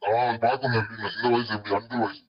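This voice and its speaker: Fast-talking man through a mature-male voice changer. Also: on the low side, flat delivery, husky. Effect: voice changer preset for a mature male voice. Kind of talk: casual chat demonstrating voice presets. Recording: dull lo-fi live stream audio.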